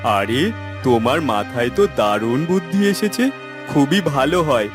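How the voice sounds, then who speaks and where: A man playing a poor, supportive village husband in a cartoon, expressive and earnest. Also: medium pitch, a little slow, music in the background, natural voice. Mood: enthusiastic.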